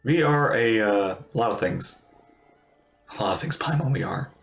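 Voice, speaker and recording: A woman's voice: very deep voice, animated, normal speed, gravelly, faint music behind. A woman giving casual livestream commentary on a game, musing aloud in an informal way. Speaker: streamer reacting to a game.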